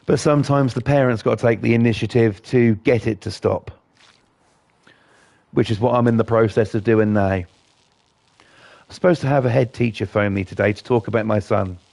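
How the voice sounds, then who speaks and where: A man hosting casual gameplay commentary, blunt and defiant, his voice croaky from a cold. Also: mid-range voice, fairly even intonation, normal speed, pauses often, a little mellow, no echo. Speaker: gaming channel host.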